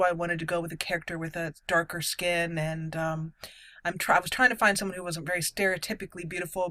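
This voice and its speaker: A woman's voice: medium pitch, fairly bright tone, no room sound. A woman giving casual game commentary in a chatty, explanatory manner. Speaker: gaming video host.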